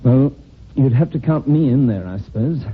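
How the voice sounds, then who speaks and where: An actor playing an anxious, politely hesitant estranged husband under suspicion in an old-time radio drama. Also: male voice, mid-range voice, lively intonation, normal speed, slightly rough. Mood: worried, questioning. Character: anxious estranged husband suspected of his wife's murder. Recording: tinny, hissy old radio recording.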